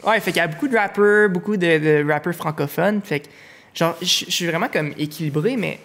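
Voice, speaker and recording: A man's voice: high voice, a little fast. Laid-back young man with a raised voice. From Ontario, Canada. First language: English. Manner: relaxed, mixes English slang into French, chuckles often. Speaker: guest, music producer. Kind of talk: casual podcast chat.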